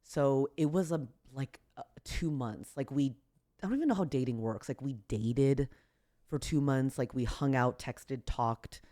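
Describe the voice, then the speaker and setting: A woman with a deep voice, a comedian guest telling a story in casual podcast chat, relaxed and low-key. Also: measured pace. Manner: relaxed, low-key, acts out quotes with raised voice.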